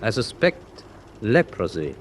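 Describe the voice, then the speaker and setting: An actor playing a deferential ship's doctor in a wartime radio drama, speaking in a formal, measured, respectful way. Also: male voice, on the low side, very animated, a little hiss or hum. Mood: formal, grave. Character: deferential, grave ship's doctor on German tanker.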